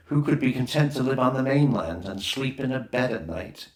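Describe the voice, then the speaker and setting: A man reading a children's adventure story aloud as a scripted audiobook narration. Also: fairly low pitch. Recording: roomy, echoing voice recording.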